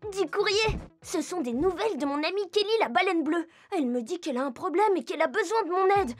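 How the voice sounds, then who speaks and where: Very high-pitched, cheerful little girl. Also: animated, fast talker, music in the background. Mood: cheerful, curious. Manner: bright-eyed, inquisitive, enthusiastic. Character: curious, cheerful little girl who travels to animal friends. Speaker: main character and narrator. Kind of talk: children's cartoon acting.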